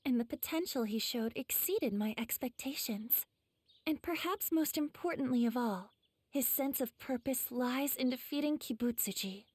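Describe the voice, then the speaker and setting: A woman playing a gentle, polite young female demon slayer mentor in an anime game, soft-spoken with a teasing sweetness. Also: high-pitched, normal speed, no echo. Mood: earnest, respectful.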